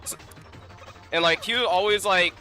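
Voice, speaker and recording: A man's voice: high-pitched, normal speed, music in the background. A man doing casual live-stream commentary over gameplay, rambling with lots of fillers and restarts. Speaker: gaming streamer.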